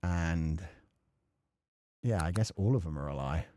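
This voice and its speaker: A man's voice: low-pitched, measured pace, warm tone, a little hiss or hum. A man doing casual let's play commentary, muttering sweary asides and reading bits of game text aloud to himself. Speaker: gaming streamer.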